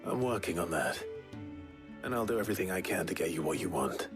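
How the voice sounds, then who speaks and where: An actor playing a calm, dutiful male warrior leader, measured and sincere in a video game drama. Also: deep voice, over music. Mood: gentle, steady.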